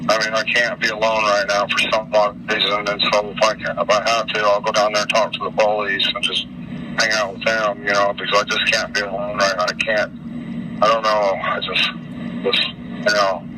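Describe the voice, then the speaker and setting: Low, flat-toned American man, halting. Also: noisy background. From Utah, United States. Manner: halting, repetitive, folksy. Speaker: interviewee. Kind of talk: informal interview answers.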